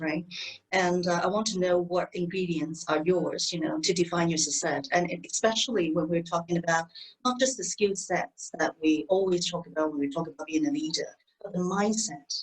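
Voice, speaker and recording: A woman hosts a webinar over a video call. She chats through her questions with plenty of fillers. Her voice sits a bit low for a woman. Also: husky, rough recording. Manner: chatty, many fillers. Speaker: webinar host. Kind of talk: moderating questions.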